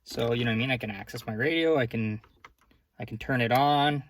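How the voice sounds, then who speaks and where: A man's voice: mid-range voice, fairly quick. A man giving a casual, hands-on how-to walkthrough as a vlogger, in an informal way with conversational asides.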